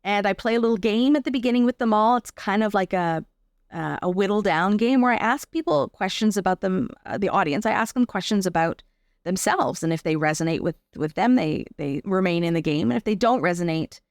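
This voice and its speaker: A woman's voice: mid-range voice, fairly quick, no echo, clean studio sound. A Canadian woman talking as an interview guest, explaining her work in a chatty, conversational way and often checking in with the listener. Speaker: interview guest, artist and arts accessibility advocate.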